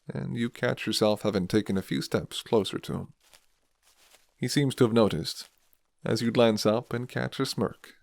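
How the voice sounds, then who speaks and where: A man reading scripted second-person ASMR narration in a soft, measured storytelling manner. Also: fairly low pitch, gravelly, no echo.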